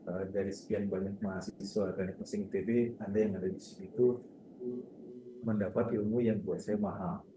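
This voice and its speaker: A man's voice: on the low side, flat delivery, brisk, mellow. Male lecturer, echoey noisy room. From Indonesia. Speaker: university lecturer moderating a guest talk. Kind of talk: informal closing remarks to students. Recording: echoey room with clear background noise.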